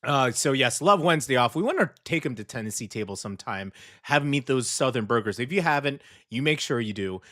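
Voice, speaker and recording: A man's voice: mid-range voice, lively intonation, brisk, pushed and bright. Upbeat, rambling radio host man. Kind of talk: casual radio chat. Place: radio studio.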